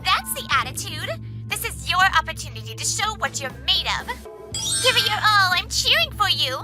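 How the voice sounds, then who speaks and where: A woman's voice: extremely high pitch, very animated, normal speed, pushed and bright, heavily acted. A woman voicing an eager, encouraging young businesswoman in a manga dub, perky and emphatic. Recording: music under the voice.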